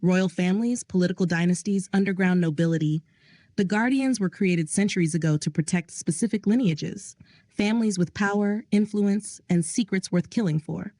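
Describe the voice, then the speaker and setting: A woman's voice: on the low side, moderate pace, warm tone, no echo, lightly acted. A woman voicing a grim male aide who reports facts in a clinical, matter-of-fact way. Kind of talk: audio drama reading.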